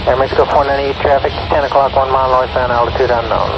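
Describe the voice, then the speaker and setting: A man working as an air traffic controller, giving brisk, clipped, procedural instructions over radio. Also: mid-range voice, little pitch movement. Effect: air traffic radio transmission.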